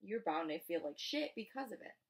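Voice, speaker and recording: A woman reading a first-person novel aloud as expressive narration. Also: medium pitch, a little fast. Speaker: audiobook reader.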